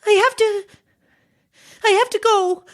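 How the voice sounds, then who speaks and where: An actress playing a frantic, obsessed mother in a horror audio drama, speaking in a halting, distraught, pleading way. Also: very high voice, fairly even intonation. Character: frantic, obsessed mother hunting a child-snatching witch.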